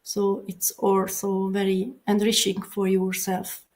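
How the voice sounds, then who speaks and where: Slow-speaking woman. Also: mid-range voice, completely flat delivery, darkish tone. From Hungary. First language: Hungarian. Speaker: guest. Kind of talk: casual interview chat.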